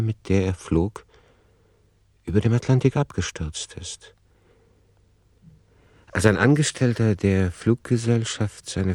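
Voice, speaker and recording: Male radio play narrator: deep register, factual, analytical, no room sound. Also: dark tone, dry recording.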